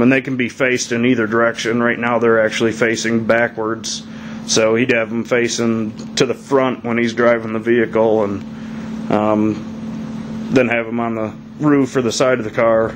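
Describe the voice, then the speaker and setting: A man's voice: mid-range voice, background noise. A man giving a casual, unscripted walkthrough in a plain-spoken, hesitant way, recorded indoors on a handheld camera's mic. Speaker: hobbyist builder. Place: carpeted living room, indoors. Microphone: camera mic, held by speaker.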